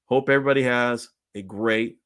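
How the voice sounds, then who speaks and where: A man's voice: medium pitch, steady tone, normal speed, a bit bright, clear, resonant tone. A man hosting a solo sports talk podcast, opinionated and ranting, his voice strained and nearly gone.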